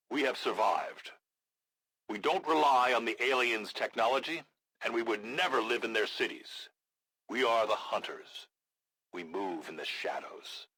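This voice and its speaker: An actor playing a gruff, blunt, battle-hardened resistance leader in a video game, with a gravelly voice and a measured, grave manner. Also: male voice, lowish voice, bright, forceful tone, dry recording, heavily acted, rough recording. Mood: proud, menacing. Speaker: military faction commander in a video game. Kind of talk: scripted video game voice acting.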